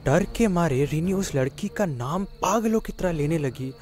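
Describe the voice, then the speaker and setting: A man's voice: on the high side, lively intonation, measured pace. A man giving scripted narration of a spooky children's story. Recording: clear music behind the voice. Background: background music.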